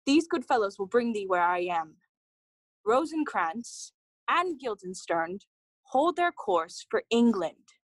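A woman's voice: medium pitch, big pitch swings, slow pace, dry recording. A woman playing an earnest, loyal young scholar, reading dramatically in a classical audio play. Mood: earnest, intent.

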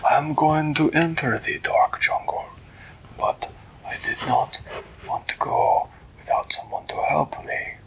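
Grave cat monarch for a bedtime tale male storyteller, creaky, whispery, courteous and deliberate. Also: a little high, steady tone, pitched above the performer's own voice. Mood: grave, pleading.

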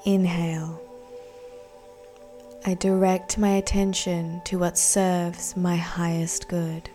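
A woman guiding a meditation in a soothing, deliberate, breathy voice that often nears a whisper. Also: lowish voice, level tone. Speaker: meditation guide. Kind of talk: guided meditation narration. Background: soft background music.

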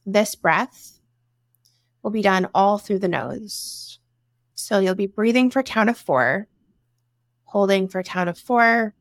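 Female meditation guide, very slow delivery, some vocal fry, soothing and instructive, close dry sound. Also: mid-range voice, no room sound. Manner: soothing, instructive, with long pauses between short phrases. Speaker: meditation guide, breathwork teacher.

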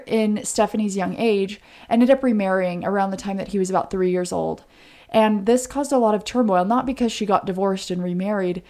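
A woman's voice: medium pitch, warm tone. A woman co-hosting a podcast, narrating true crime in an informal way with chatty asides. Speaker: podcast co-host.

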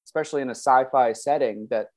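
A man's voice: medium pitch, fast. An American man from the Chicago suburbs chatting casually as an interview guest, in a self-deprecating, chuckly way. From suburban Chicago, United States.

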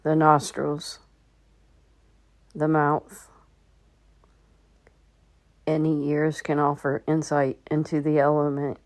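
A woman leading a guided meditation, giving gentle instructions with long pauses between phrases. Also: low-pitched, completely flat delivery, dry recording. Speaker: meditation guide and podcast host.

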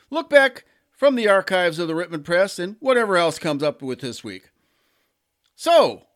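A man hosting a local podcast, reading scripted notes aloud in a plain, deliberate way. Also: high voice. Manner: plain, deliberate read-aloud delivery with little ad-libbing. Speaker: local community podcast host.

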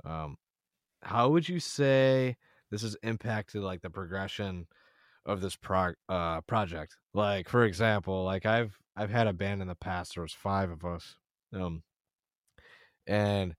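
A man in his early twenties interviewing a musician, talking loosely with swearing and halting questions. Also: low-pitched, no echo, studio quality. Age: 20s. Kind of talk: casual interview chat.

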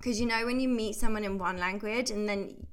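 A woman's voice: medium pitch, fairly even intonation, fairly quick. A woman in her thirties, a health coach hosting her podcast in a chatty, upbeat way. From United Kingdom. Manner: chatty and upbeat, laughs easily. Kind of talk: casual podcast monologue.

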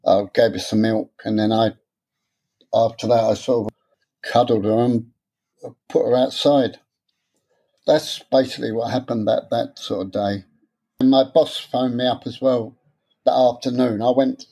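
A man, talking candidly in an interview, halting and repeating words as he speaks. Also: mid-range voice, little pitch movement, mellow. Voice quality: stammer. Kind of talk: personal interview.

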